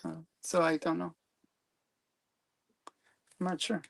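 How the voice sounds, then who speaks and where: A man hosts a relaxed livestream chat about his collection. He hesitates often, filling gaps with ums and leaving sentences unfinished. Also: on the high side, slow pace, creaky, low-quality recording. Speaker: comic collector host.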